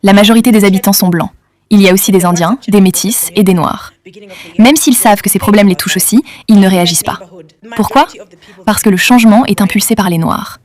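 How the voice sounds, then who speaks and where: A woman from South Africa speaking in a measured, critical way. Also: medium pitch, a little mellow.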